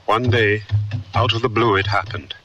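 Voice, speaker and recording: A man performs an earnest young African cowboy recounting his love story in a radio western. His low voice moves expressively through measured, reflective storytelling, set a little far off under loud music. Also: fairly even intonation, dull audio, natural voice. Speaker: narrator and lead character. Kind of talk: dramatic first-person radio drama narration.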